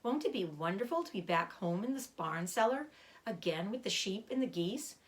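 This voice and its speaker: A woman's voice: medium pitch, normal speed, lightly acted. A woman voicing an earnest, emotional young male pig in a children's storybook, pleading and devoted. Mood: earnest, eager. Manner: pleading, excitable, swooping upward. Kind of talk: children's storybook read-aloud.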